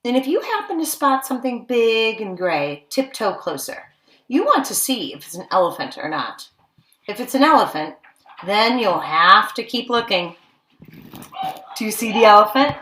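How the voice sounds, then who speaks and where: A woman's voice: on the high side, very animated, normal speed, fairly bright tone. A woman reading a picture book aloud to young children as a teacher, stopping to ask simple questions and make exclaiming asides.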